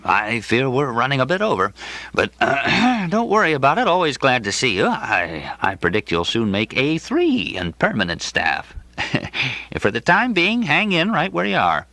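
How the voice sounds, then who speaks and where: A man voicing an amiable, condescending old hospital director, ailing and distracted, who hems and haws and clears his throat in an audiobook. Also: medium pitch, huge pitch swings, pushed and bright, no echo, pitched above the performer's own voice, heavily acted. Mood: jovial, patronizing. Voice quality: fruity cough, throat-clearing. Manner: halting, hemming, falsely genial.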